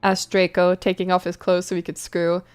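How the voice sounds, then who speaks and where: A woman's voice: fairly low pitch, monotone, normal speed, clear, resonant tone, soft background music. A woman hosting a mock-dramatic reading of fanfiction, playful and theatrical, with casual asides. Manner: playful, theatrical, spells out misspellings. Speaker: host reading fanfiction aloud.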